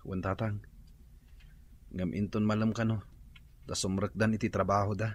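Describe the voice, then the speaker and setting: Guy voicing a worried son, respectful, lowish voice. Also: flat delivery, moderate pace, lo-fi sound, lightly acted. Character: dutiful adult son, anxious. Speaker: adult son. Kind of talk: radio drama acting.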